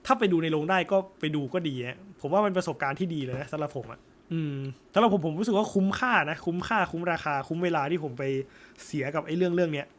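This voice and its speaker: A young man with a fairly high voice talks through his thoughts in a relaxed chat. He pauses often, hunts for the right words, and fills the gaps with small fillers. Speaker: co-host. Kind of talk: casual chat about a film.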